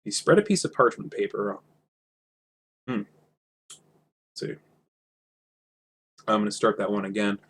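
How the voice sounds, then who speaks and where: A man with a husky voice reading a storybook aloud in an informal, self-correcting way. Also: on the low side, animated, measured pace, long pauses, fairly bright tone. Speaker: amateur audiobook reader. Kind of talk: storybook reading with character voices and casual asides.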